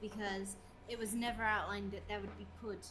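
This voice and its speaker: A young woman chatting casually with friends in a giggly, halting storytelling way. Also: mid-range voice, flat delivery, background noise. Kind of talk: casual chat among friends. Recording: music behind the voice. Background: music.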